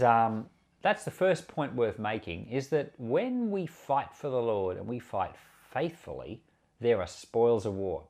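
Man, pastor, animated intonation, earnest and conversational, scripture reading and commentary, repeats for emphasis. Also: mid-range voice, vocal fry. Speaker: pastor and podcast host.

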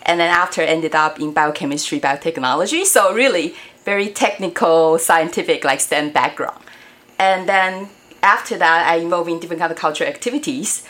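A woman's voice: fairly low pitch, fairly quick, a bit bright. A woman in her forties, from Taiwan and not an English speaker, talking friendly and hesitantly as an interview guest. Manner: friendly, hesitant, many fillers. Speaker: guest, robotics education director. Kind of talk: casual interview chat.

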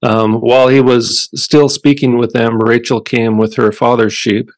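A man co-hosting a casual Bible study talk, thoughtful and halting, with a deep radio voice. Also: no echo. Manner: relaxed, thoughtful, halting with restarts. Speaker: Bible study podcast co-host. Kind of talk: casual Bible discussion and scripture reading.

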